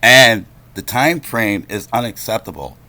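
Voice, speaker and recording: A man's voice: lowish voice, expressive, measured pace, sharp tone. A man making a complaint on a phone call, speaking in an insistent, confrontational, lecturing manner.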